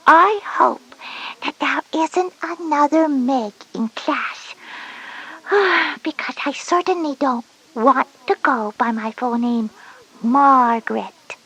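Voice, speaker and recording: A breathy little kindergarten girl, speaking very slowly in a high voice. She is curious and a bit stubborn, sounding out her words in a childlike, deliberate way for a children's educational story. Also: sing-song, fairly bright tone, full character voice, rough recording. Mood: worried, pouty. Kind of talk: scripted educational audio story dialogue.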